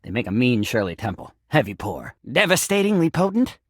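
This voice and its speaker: A man's voice: mid-range voice, sing-song, brisk, no echo, clean studio sound. A male actor voicing an eccentric, frantic talking sink, secretly a cursed man, in a theatrical video game style with melodramatic, pompous declaiming. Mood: storytelling, rueful. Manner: melodramatic, absurdist, swinging between pompous declaiming and shrieks.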